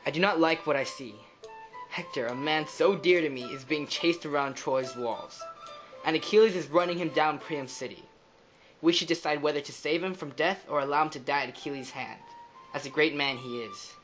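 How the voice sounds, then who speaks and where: A man's voice: a little high, normal speed, fairly bright tone, highs cut off, soft background music, close to an everyday voice. A man playing a fatherly, placating king of the gods in a mythological puppet show, solemn in manner. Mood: troubled, solemn.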